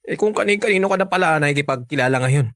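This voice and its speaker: A woman's voice: low voice, lively intonation, rapid-fire, no echo, clean studio sound. A woman playing a jealous, possessive young Filipino lover in a radio drama, insistent and interrogating. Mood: suspicious.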